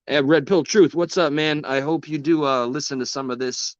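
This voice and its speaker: A man's voice: a little high, fairly even intonation, normal speed, dry recording, muffled recording. A man hosting a livestream and chatting with viewers, rambling and passionate, swearing often, his voice worn from talking a long time. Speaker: livestream host. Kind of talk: casual livestream talk to chat.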